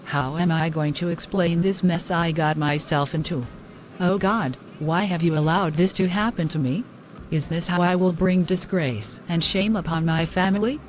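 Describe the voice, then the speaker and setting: A woman's voice: fairly low pitch, moderate pace, slight background noise, natural voice. A woman playing a distraught, self-reproaching young woman in a scripted moral-story drama. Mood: self-loathing, anguished.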